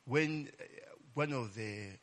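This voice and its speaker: A man's voice: mid-range voice, slow pace, fairly bright tone. A man preaching a casual Bible-teaching sermon, often ending phrases with "eh?" and crying "Glory be to God". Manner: call-and-response, frequent "eh?" tag questions; exclaims "Glory be to God" and "Hallelujah".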